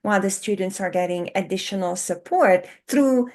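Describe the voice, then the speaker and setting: A woman whose first language is Hungarian talks as a guest on an education interview. She is animated and expressive, stretching key words and rephrasing as she thinks. Her pitch sits in the middle of the usual range. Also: normal speed. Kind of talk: conversational podcast interview.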